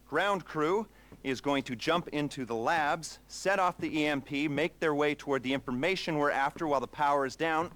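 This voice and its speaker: An actor playing a smug, theatrical heist mastermind with a wry, measured delivery in a video game cutscene. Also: male voice, a little high, fairly bright tone.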